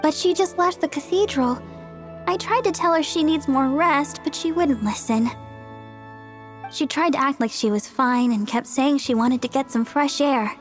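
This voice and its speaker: A woman's voice: high voice, moderate pace, over music, natural voice. An actress voicing a cheerful, caring young female church healer in a video game. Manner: sweet, warm and fussing.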